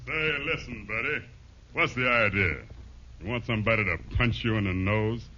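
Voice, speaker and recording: Gruff, sharp-voiced jailer. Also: male voice, on the low side, moderate pace. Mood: gruff, mocking. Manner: gruff, mocking. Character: tough, sarcastic, bullying jailer, a man. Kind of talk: 1940s radio sitcom acting. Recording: muffled, noisy vintage radio recording.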